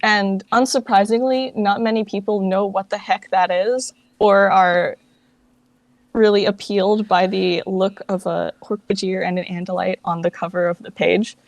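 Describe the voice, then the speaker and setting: Woman being interviewed, casual and a bit hesitant, says like a lot, medium pitch, no room sound. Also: steady tone, moderate pace, creaky, no room sound. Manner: informal, hesitant, filler-heavy phrasing with frequent "like". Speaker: interview guest, founder of a fan dub project.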